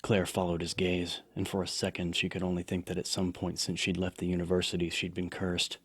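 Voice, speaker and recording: A man's voice: sits low, monotone, a little fast, slightly rough, no room sound. A man reading scripted horror fiction as a dramatic audiobook narrator. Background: background music.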